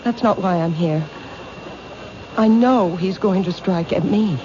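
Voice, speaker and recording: A woman acting in a radio mystery as a calm but fearful bookshop owner, speaking hesitantly and with composure. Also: on the low side, a little slow, lightly acted. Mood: calm, uneasy. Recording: muffled, noisy old radio recording.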